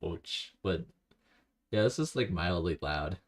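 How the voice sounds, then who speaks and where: A man with a deep, gravelly voice streams a game, drifting through low-key, rambling self-talk. He speaks slowly and reads viewers' messages aloud between moves. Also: lively intonation. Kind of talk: casual live-stream commentary while playing.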